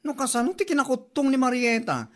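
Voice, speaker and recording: A woman's voice: high-pitched, very animated, a little fast. A woman playing a brash, gossipy, teasing friend in a comedy drama, with an Ilocano accent. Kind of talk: comedy drama podcast acting.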